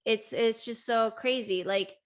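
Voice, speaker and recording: A woman's voice: on the high side, unhurried. Chatty woman hosting an interview. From Sweden. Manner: chatty, laughs often, animated storytelling. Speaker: host. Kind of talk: casual interview chat.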